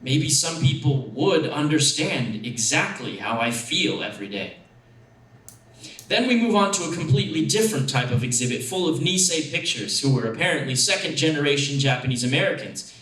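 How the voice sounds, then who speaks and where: A man giving a dramatic first-person short story narration with expressive, deliberate phrasing. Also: on the high side. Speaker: actor reading a story. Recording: echoey room, distant mic.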